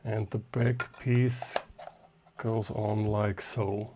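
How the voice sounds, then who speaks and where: Slow-paced man talking through a repair. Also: fairly low pitch, little pitch movement, noisy background. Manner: muttering to himself in short, halting phrases while working. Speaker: hobbyist host of radio repair videos. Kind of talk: hands-on repair demonstration, unscripted.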